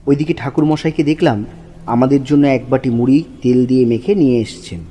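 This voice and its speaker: A man narrating a scripted audio story in a husky voice. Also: medium pitch, fairly quick, mellow.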